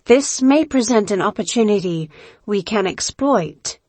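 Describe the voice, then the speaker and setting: A woman playing a shrewd, commanding pirate captain in an audio drama, speaking in a measured, formal, imperious manner. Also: mid-range voice, lively intonation, a little mellow, breathy edge, no echo.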